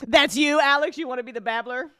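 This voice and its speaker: A woman's voice: high-pitched, fast, bright, forceful tone, no room sound, clean studio sound. A woman hosting a casual after-show chat, talking in an emphatic, gushing, sweary way. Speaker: host and audio drama writer.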